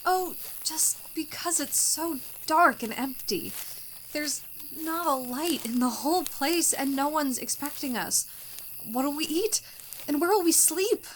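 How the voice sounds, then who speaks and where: Casting: actress as naive, romantic bride, high genteel voice, fluttery hesitations, radio melodrama, faint noise behind. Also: female voice, lively intonation, unhurried. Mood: anxious, pleading. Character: naive romantic new bride, wistful, increasingly anxious. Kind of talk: old-fashioned radio melodrama acting, with diary narration.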